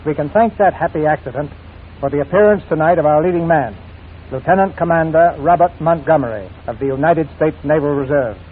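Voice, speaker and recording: A man hosting and narrating a 1940s radio theater show in a formal, measured, showman-like scripted style. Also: on the high side, a little hiss or hum. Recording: tinny 1940s radio recording.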